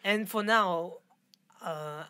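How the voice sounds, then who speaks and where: Low-pitched Hong Kong woman in interview. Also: sharp tone, dry recording. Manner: halting non-native English phrasing. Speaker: interview guest, fashion designer. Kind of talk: conversational interview.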